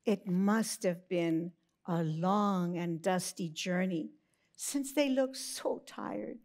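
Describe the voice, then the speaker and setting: A woman narrating a scripted Christmas story for children, expressive, with drawn-out emphasis. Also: mid-range voice.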